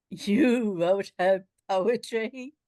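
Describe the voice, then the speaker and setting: A woman playing a breezy, teasing upper-class gentleman in a comic period radio play, jaunty and mocking with posh old-fashioned diction. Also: a little high, no echo, clean studio sound. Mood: amused disbelief.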